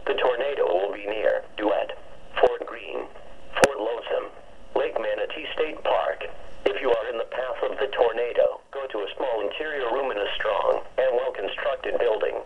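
A synthetic male announcer reading a formal, measured emergency weather warning bulletin over the radio. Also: medium pitch, lively intonation, a little hiss or hum. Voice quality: synthetic text-to-speech voice. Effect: radio transmission. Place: weather radio playback, filmed up close. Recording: muffled lo-fi weather radio speaker.

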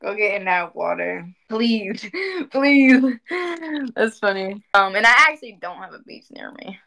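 A woman in her twenties talking casually on a livestream, animated and emphatic, often repeating phrases for emphasis. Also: medium pitch, unhurried, gravelly. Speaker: spiritual community speaker. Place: indoor room at home.

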